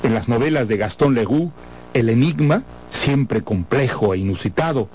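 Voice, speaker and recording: A man's voice: mid-range voice, animated, normal speed, a little mellow, slight background noise. Formal, erudite male literary presenter. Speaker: presenter introducing a classic novel. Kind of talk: scripted literary introduction. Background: background music.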